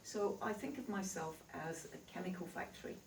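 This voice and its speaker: A woman's voice: on the low side, a little fast, far from the mic, a little hiss or hum. A woman with a Yorkshire accent, a healer giving a chatty, reassuring talk to a live audience. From Yorkshire, England. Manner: chatty, reassuring, laughs easily. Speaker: spiritual healer. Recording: echoey hall recorded from afar.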